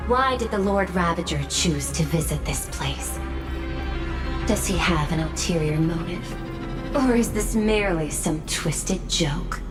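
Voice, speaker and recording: A woman's voice: on the low side, expressive, unhurried. A woman voicing a serious, inquiring officer in a video game, speaking in a formal, grave manner. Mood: questioning. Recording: voice over loud music.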